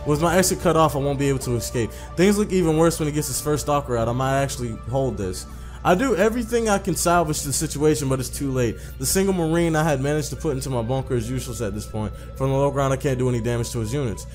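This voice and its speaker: A man's voice: mid-range voice, quick pace, faint music behind. A man doing a casual let's play, swearing and repeating himself in slang as he comments and reads game text aloud. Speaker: gaming video host.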